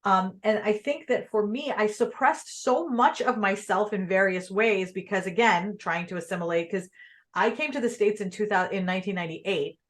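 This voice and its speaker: A woman's voice: mid-range voice, moderate pace. A young adult woman from Pakistan, Urdu her first language, hosting a casual interview and talking candidly with lots of fillers. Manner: animated and candid, filler-heavy. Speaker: podcast host.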